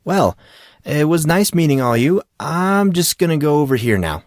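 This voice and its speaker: A man's voice: on the high side, big pitch swings, moderate pace, no room sound, pitched above the performer's own voice. A man reads as a young teenage boy in an audiobook tale. He is polite and a little awkward, speaking mildly and hesitantly in a dry, close sound. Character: awkward, polite fourteen-year-old boy, new at school.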